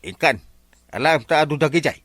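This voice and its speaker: A man's voice: a little high, brisk, a bit bright, dry recording. A man with an Ilocano accent playing a reproachful friend fed up with a borrower, in a colloquial radio drama.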